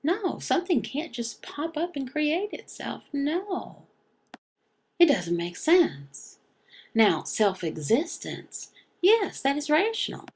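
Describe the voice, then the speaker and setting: A woman giving an explanatory religious lesson in short, emphatic, halting phrases, often asking and answering questions. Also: high voice, sing-song, darkish tone. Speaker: teacher voicing a signed religious lesson.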